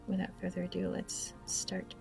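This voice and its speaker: A woman's voice: lowish voice, monotone, moderate pace, gravelly, music in the background. A woman hosting a gaming video with casual, awkward, self-deprecating commentary, speaking hesitantly. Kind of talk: casual let's play commentary.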